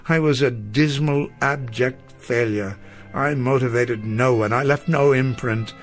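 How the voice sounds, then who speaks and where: Male actor as elderly schoolmaster for radio drama: middle-pitched, eloquent, gentle, melancholy, doubts himself, faint music. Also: measured pace, close to an everyday voice. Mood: despairing.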